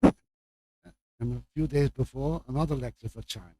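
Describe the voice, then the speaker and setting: An older man from Belgium, a native Flemish speaker with a Flemish accent, gives an informal lecture introduction as a visiting professor in a halting way, with repeated words and asides. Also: medium pitch, warm tone, rough recording. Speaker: guest lecturer, medical professor.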